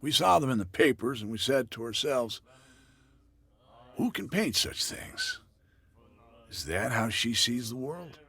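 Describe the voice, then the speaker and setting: A guarded tavern keeper in a video game. His voice is mid-pitched and rough, and he talks in a cagey, matter-of-fact way. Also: wildly swooping pitch, a little slow, long pauses, sharp tone, full character voice. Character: wary, guarded male barkeeper passing on local rumors. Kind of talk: video game cutscene dialogue.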